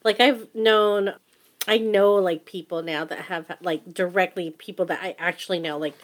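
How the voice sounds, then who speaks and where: A woman's voice: lowish voice, fairly even intonation, normal speed. A woman co-hosting a casual chat, mostly listening and chiming in with short, supportive replies.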